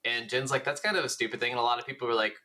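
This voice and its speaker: Male podcast co-host, bright mid-pitched voice, casual gamer chat, unfiltered language. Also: steady tone, rapid-fire. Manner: informal, swears freely.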